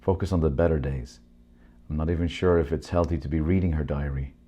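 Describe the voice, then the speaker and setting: A man voicing a calm, gently firm counselor. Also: rumbling low, a little fast. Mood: gentle.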